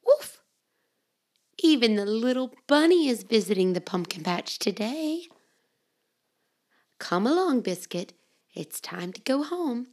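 A woman hosting and reading children's storybooks aloud in an expressive, simple way for young children. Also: on the high side, measured pace. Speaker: children's storybook podcast host and reader.

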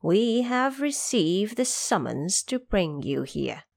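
A woman's voice: mid-range voice, sing-song, takes it slowly, creaky, a little mellow, dry recording, full character voice. A woman voicing a stern death collector of the underworld in an audio drama, making a formal declaration.

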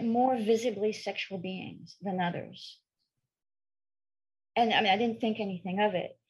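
Middle-aged female therapist from France, bilingual, husky, frank storyteller. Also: medium pitch, lo-fi sound. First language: French and English, bilingual. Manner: frank, candid, matter-of-fact with hesitations. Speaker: sex therapist guest. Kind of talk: storytelling interview answers.

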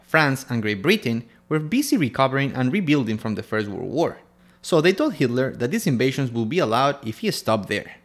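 A man's voice: mid-range voice, big pitch swings, brisk. A man from Ecuador co-hosting a history podcast, reading scripted narration in turns with his co-host.

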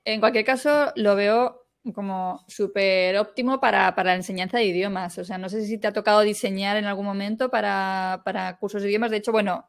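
Woman, interviewer, mid pitch, conversational, affirming. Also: steady tone, a little fast, hardly any pauses, clear, resonant tone. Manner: engaged, frequent agreeing backchannels. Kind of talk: casual interview talk.

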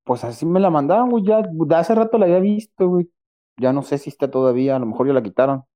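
A man with a Mexican accent on a stream call, talking quietly and offhand. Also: a little high, animated, fast. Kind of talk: casual live-stream banter. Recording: quiet muffled call audio.

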